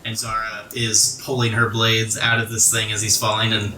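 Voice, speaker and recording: A man's voice: fairly low pitch, completely flat delivery, normal speed, bright, forceful tone, slight background noise. Roomy-sounding man running a tabletop game. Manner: informal, descriptive storytelling. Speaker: game master. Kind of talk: narrating a tabletop roleplaying game. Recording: roomy, distant mic, music underneath. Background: music.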